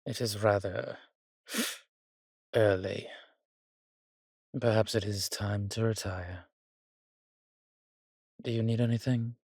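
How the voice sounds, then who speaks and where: A man acts as a darkly charming, world-weary vampire in an intimate roleplay audio. His voice sits low and he speaks very slowly, in measured, courtly phrases. The recording is close and dry, addressed softly to a single listener as a fond protector. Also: fairly even intonation, no room sound. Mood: weary, tender.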